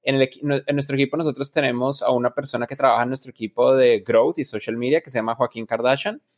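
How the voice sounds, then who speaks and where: A man's voice: mid-range voice, steady tone, fast talker. A man, a reflective interview guest who often restarts his phrases. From Colombia. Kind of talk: casual interview talk.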